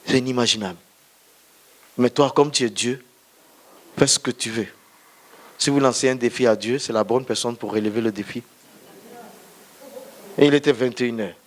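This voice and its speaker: A man's voice: mid-range voice, moderate pace, pauses often. Man preaching a personal testimony. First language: not French, another mother tongue. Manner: storytelling, addresses listeners directly. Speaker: pastor. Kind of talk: testimony sermon. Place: church.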